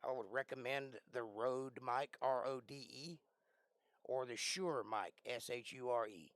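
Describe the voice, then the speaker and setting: A man with a raspy, worn voice that he is losing hosts a casual livestream from a cramped bedroom. He talks into a close desk mic that leaves the sound dry, and he rambles while often clearing his throat. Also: mid-range voice, a little slow, fairly bright tone, dry recording. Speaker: livestream host. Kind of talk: casual live-stream chat. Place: small bedroom. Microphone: close desk microphone.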